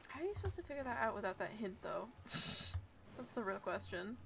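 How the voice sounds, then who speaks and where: A woman's voice: medium pitch, very animated, normal speed, vocal fry, pushed and bright, a little hiss or hum. A young woman giving casual live commentary as she streams a game, sarcastic and self-mocking.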